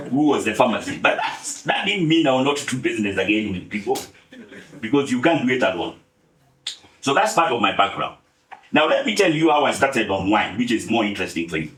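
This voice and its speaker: A man in his seventies from Kenya, giving a relaxed, humorous account of his career with many pauses. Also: medium pitch, roomy sound, low-quality recording. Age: 70s. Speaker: guest speaker. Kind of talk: relaxed storytelling talk.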